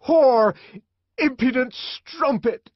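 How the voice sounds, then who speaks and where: A man voicing a wild, tormented young man who shouts and declaims verse in fury. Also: sits high, animated, takes it slowly, no echo, lo-fi sound, pitched above the performer's own voice, exaggerated voice. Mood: raging.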